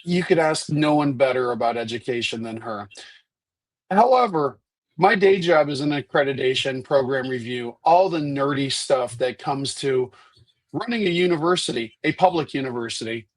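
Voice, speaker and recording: A man in his fifties, stuffy and husky from allergies, answering questions as a candidate on a video call, chatty with frequent restarts. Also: mid-range voice, expressive, moderate pace, no echo. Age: 50s. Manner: chatty, restarts and repeats words. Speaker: state senate candidate. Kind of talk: virtual town hall answers.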